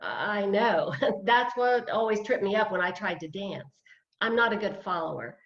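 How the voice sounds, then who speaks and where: Woman acting self-deprecating beginner dancer in late fifties, mid-pitched, hesitant, wry, play reading, roomy sound. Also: lively intonation, moderate pace, fairly bright tone. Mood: wry, reflective. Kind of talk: play reading over video call. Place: indoors, on a video call. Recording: roomy, dull video-call audio.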